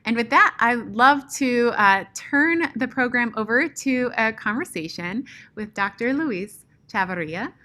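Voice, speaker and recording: Bright-voiced woman hosting a webinar. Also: fairly high pitch, lively intonation, unhurried. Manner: upbeat, announcing, with "uh" fillers. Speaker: host. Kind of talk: scripted webinar introduction. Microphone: video call.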